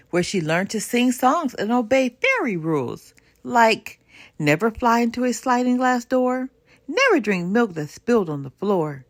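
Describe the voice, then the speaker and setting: An older woman reading a children's storybook aloud in an expressive, sing-song way. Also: on the high side, no echo, studio quality. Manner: animated, unhurried sing-song rhyming delivery. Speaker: storybook reader.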